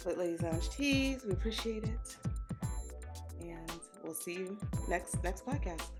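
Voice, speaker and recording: Low-voiced frank woman podcast host. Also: lively intonation, unhurried, loud music behind the voice. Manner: laid-back, frank, unfiltered. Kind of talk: casual chat.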